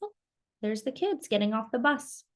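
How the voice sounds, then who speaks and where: A woman reading a picture book aloud to young children in an expressive, exclamatory way, with chatty asides and questions. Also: a little high, normal speed. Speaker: children's storytime reader.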